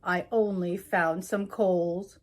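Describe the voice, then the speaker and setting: A woman's voice: fairly low pitch, fairly even intonation, takes it slowly. A woman voicing a worried, cold farmer from a children's storybook, speaking earnestly.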